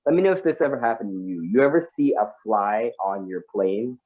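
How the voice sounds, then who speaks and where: A man's voice: lowish voice, lively intonation, brisk, narrow, tinny audio. A man from Guyana doing a stand-up comedy set over a video call, chatty and full of asides to the audience.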